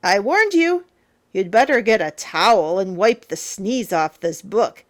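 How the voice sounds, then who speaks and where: A woman reading a children's picture book aloud as a storyteller, in a theatrical, playful, emphatic way with drawn-out words. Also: mid-range voice, animated. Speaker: storyteller, teacher.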